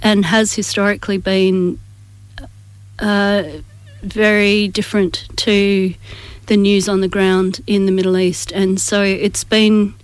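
An articulate woman with an Australian accent answers interview questions reflectively. Her delivery is measured and stays in a narrow pitch range, recorded close and dry. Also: level tone, clean tone, no room sound. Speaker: community group member, interview guest.

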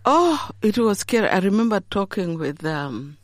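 A middle-aged woman from Uganda recounts her past in an interview, breaking into laughter and acting out the people she quotes. Her voice sits at a middle pitch. The recording is dry and close, with no room echo. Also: very animated, moderate pace. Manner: warm storyteller, laughs often, acts out quoted voices. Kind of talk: storytelling in an interview.

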